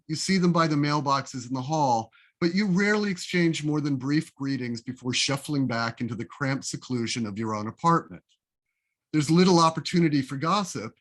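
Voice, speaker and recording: A man reading his own essay aloud as a literary reading, with a deliberate, wry, expressive storytelling delivery, recorded over a video call. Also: fairly high pitch. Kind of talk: literary reading, scripted first-person narration.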